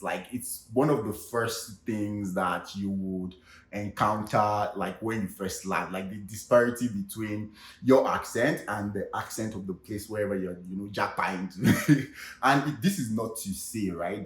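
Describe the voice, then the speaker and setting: A man's voice: lowish voice, moderate pace. Chatty young Nigerian man, echoey room. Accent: Nigerian Yoruba. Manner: chatty, with many fillers like "you know" and "you get". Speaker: vlog host. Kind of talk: casual comedic monologue.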